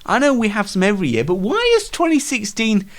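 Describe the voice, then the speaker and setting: Animated man hosting comedy show. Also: high voice, fairly quick. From United Kingdom. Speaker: show host. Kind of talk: light comedic monologue.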